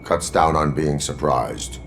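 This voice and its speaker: An actor playing a stern, by-the-book cyborg police officer in a video game, speaking in a formal, measured, deadpan way. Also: male voice, rumbling low, creaky, slight background noise, full character voice. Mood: stern, instructive. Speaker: police field training officer.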